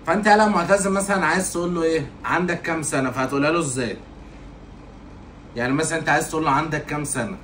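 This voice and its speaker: A man's voice: on the high side, a little hiss or hum, close to an everyday voice. A man with an Egyptian accent playing a bossy, impatient man who scolds and lectures a clueless youngster. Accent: Egyptian Arabic. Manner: scolding, bossy, quick to shout. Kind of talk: comedy sketch.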